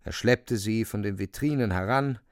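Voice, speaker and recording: A man's voice: lowish voice, moderate pace, clear, resonant tone, no room sound, studio quality. A man narrating a radio drama in a scripted, theatrical storytelling style.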